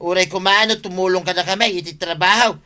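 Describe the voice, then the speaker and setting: Bright, blunt Ilocano village meddler. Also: male voice, high-pitched, steady tone, quick pace. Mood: insistent. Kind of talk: Ilocano comedy radio drama.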